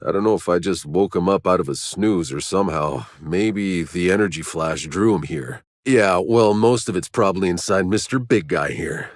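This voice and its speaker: A battle-worn sci-fi game soldier, deep raspy voice, gruff and wry. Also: male voice, normal speed, hardly any pauses, no room sound, studio quality. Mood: sardonic, casual. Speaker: video game protagonist. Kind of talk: video game voice lines, dramatic acting.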